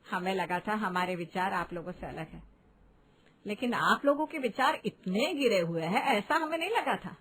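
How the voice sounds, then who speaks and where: A woman's voice: medium pitch, expressive, normal speed, a bit bright, breathy edge. A woman voicing a progressive mother-in-law in a cartoon drama, lecturing others with defiant reproach. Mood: defiant, reproachful. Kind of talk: animated family drama voice acting in Hindi.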